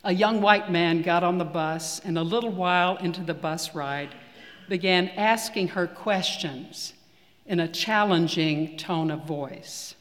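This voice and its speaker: A man preaching a sermon in a church, measured and earnest with deliberate pauses. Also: high voice, a bit bright. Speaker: priest. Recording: long reverb of a church hall.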